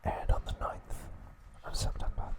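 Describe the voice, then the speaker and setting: A man narrating a scripted recap in a soft, breathy ASMR whisper, slow and deliberate, in short phrases.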